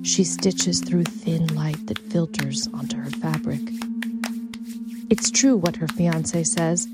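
A woman's voice: deep voice, slow, over loud music. A woman reading a literary short story as scripted narration. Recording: faint background noise.

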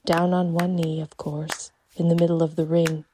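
A woman's voice: on the low side, level tone, moderate pace, noisy background, lightly acted. A woman voicing a devoted, romantic circus wolf boy in a short story, speaking in florid, effusive phrases. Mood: adoring. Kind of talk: audiobook character dialogue.

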